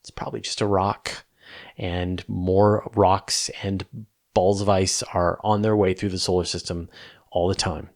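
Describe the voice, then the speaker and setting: Low-pitched man hosting a space Q&A. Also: level tone, unhurried. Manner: enthusiastic, emphatic, conversational. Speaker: host of a space question-and-answer show. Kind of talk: casual explanatory talk answering viewer questions.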